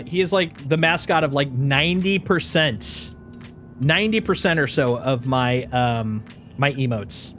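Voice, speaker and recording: Animated, slightly nasal Wisconsin man. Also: on the high side. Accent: Upper Midwest (Wisconsin), played up. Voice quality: a little nasal. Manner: joking and animated, laughs often, slips into exaggerated folksy exclamations. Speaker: game streamer. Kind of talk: casual live-stream chat.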